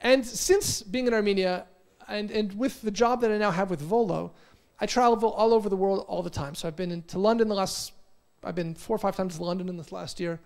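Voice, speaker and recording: An American man in his forties giving an energetic motivational conference talk, telling stories and often repeating words. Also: high-pitched, a little fast. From United States. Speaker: conference speaker. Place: conference hall.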